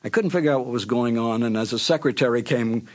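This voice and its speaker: An older man, a former radio announcer, looking back on his career in a relaxed, conversational way and sometimes correcting himself mid-sentence. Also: medium pitch, fast. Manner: relaxed, conversational storytelling with small self-corrections. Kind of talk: reminiscing anecdote.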